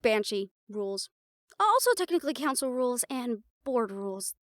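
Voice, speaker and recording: A playful half-siren, half-banshee woman acted by a woman chats one-on-one in an audio roleplay. Her voice sits on the high side and sounds very dry, with no room around it. She talks casually and haltingly, with a wistful fondness for home. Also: animated, no echo, natural voice. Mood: fond, musing. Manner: casual, chatty, halting, with small laughs. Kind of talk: intimate audio roleplay, one-sided conversation with listener.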